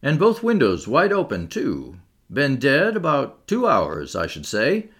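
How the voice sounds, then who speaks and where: Casual male doctor for audiobook, narrator-acted, clipped, brisk phrases with varied pitch. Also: huge pitch swings, a bit bright, exaggerated voice. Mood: matter-of-fact.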